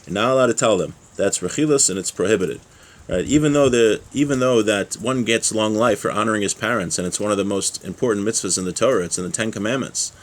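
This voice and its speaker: Male religious teacher, lowish voice, informal and explanatory, moves between reading aloud and plain commentary. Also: fast talker. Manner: explanatory, with rhetorical check-ins like "right?". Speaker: religious teacher giving a class.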